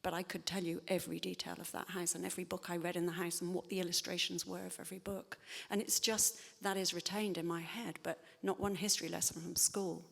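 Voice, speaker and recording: A woman's voice: lowish voice, little pitch movement, quick pace, vocal fry, hardly any pauses, breathy edge, in a large hall. A woman writer answering audience questions in a thoughtful, hesitant way, with many fillers and restarts. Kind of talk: spontaneous public Q&A talk.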